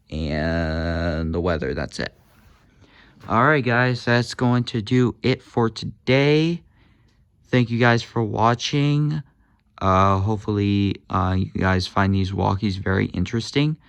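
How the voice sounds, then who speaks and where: A man's voice: sits low, gravelly, smooth, no room sound. A man casually demonstrating walkie-talkies, hesitant, with many fillers and corrections of himself. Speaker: gadget reviewer.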